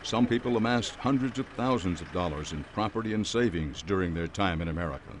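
Low-voiced male news narrator. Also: lively intonation, fairly quick, slight background noise. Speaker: reporter.

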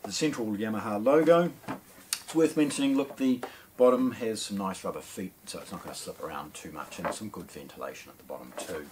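A middle-aged man with a New Zealand accent presents a product unboxing. His voice sits somewhat low, and he explains things in a measured way. A faint background noise runs under the recording. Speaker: audio shop presenter. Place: indoor shop demo room with shelves and speakers.

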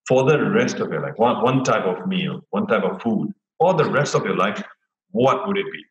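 Low-voiced man, echoey room. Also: lively intonation, moderate pace, dull audio. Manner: repeats words and phrases as he talks. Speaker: interview host. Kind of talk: casual chat interview. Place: plain indoor room, video call. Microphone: wired earphone headset. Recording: roomy echo on video call.

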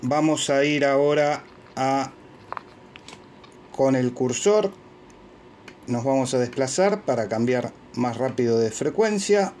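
A man's voice: mid-range voice, lively intonation, slow, long pauses, darkish tone. A man with an Argentine Spanish accent, speaking informally as an amateur radio operator and presenter on a phone recording. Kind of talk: informal equipment demonstration and radio contacts. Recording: clear background noise, handheld phone video.

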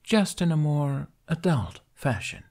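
A man's voice: on the high side, lively intonation, measured pace, darkish tone, pitched above the performer's own voice. A man voicing a bold, teasing young woman, a burglar heiress, with a sly, dry manner in an audiobook. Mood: arch.

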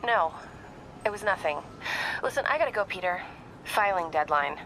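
A woman's voice: on the low side, animated, normal speed, a bit bright, slight background noise, rough recording. A woman acting a determined, easily exasperated young female reporter in a naturalistic video game style, earnest and sometimes sarcastic. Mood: curt. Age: young adult.

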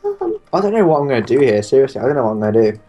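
A man's voice: medium pitch, wildly swooping pitch, warm tone. A young man from Guernsey with a British accent, grumbling and bantering over a multiplayer strategy game. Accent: British English. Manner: swears, grumbles, quick comebacks. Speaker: gamer playing multiplayer strategy. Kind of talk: casual gameplay chat. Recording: faint game music behind.

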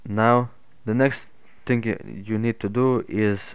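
Low-pitched man, thin phone-like sound. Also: steady tone, slow, clear, resonant tone, no echo. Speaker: tutorial host. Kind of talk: unscripted software tutorial narration. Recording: muffled phone-like narrow-band audio.

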